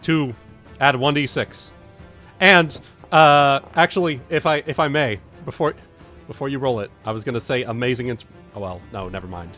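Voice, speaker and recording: A man's voice: mid-range voice, moderate pace, bright, forceful tone. A man taking part in a tabletop game as a player, talking casually about the rules and play. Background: background music.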